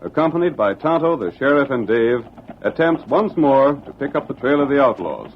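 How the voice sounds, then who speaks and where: A man's voice: medium pitch, very animated, normal speed, slight background noise. A man narrating a scripted western serial as an old-time radio announcer. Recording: muffled old radio recording.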